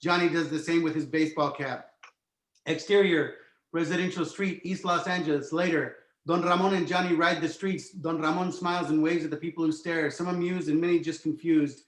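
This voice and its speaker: A man narrates a screenplay, reading out scene headings and stage directions. His voice sits a bit high, and the room rings with echo. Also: fairly even intonation, a little fast. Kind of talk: scripted narration, screenplay table read. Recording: roomy, echoing home recording.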